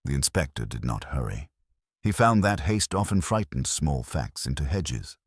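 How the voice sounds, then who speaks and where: A man reading a literary mystery as narrator, in a measured, understated, wryly humorous way. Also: extremely low pitch, sing-song, slightly rough, no echo, studio quality. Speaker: narrator and reader of a mystery story. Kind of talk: scripted literary narration with dry, wry humour.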